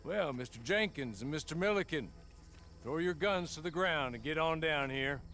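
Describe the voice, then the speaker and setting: Courteous, composed western gentleman agent. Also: on the high side, expressive, slight background noise. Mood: polite menace. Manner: polite, formal, measured. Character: courteous, composed Old West gentleman agent, quietly menacing. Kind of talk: western video game acting.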